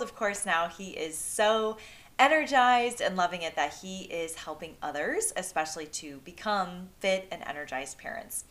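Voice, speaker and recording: A woman's voice: mid-range voice, fairly bright tone. A woman hosting a podcast, upbeat and encouraging as she introduces her guest and talks with him. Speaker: podcast host. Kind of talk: podcast interview.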